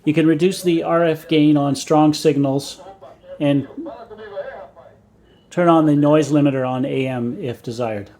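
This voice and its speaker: A man narrating a scripted technical explanation in a measured, matter-of-fact way, as a hobbyist presenter. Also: a little high, steady tone, warm tone. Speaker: vintage radio hobbyist and presenter.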